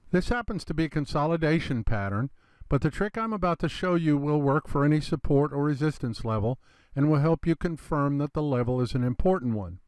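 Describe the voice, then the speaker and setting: A man with a slightly congested, gravelly voice, explaining a trading chart in a relaxed lesson and calling his viewers "you guys". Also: mid-range voice, no echo. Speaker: trading educator. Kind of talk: screen-recorded trading lesson.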